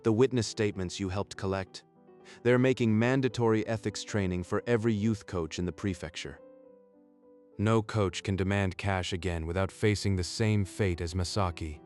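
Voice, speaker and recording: Man acting as low-pitched father, gentle, protective, proud, manga dub, light music behind. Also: fairly even intonation, normal speed, close to an everyday voice. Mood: reflective.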